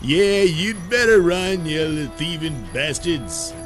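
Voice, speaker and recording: A man voicing a brash, excitable spaceship crewman who is slangy, loud and blunt, in a space comedy. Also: a little high, slow pace, over music, pitched above the performer's own voice. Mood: excited.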